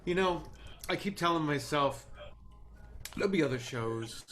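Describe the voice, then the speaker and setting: A man playing a dejected, stubborn TV showrunner in a screenplay table read, speaking in a halting, conversational way. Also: a little high, expressive, a bit bright, soft background music. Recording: noisy online call audio.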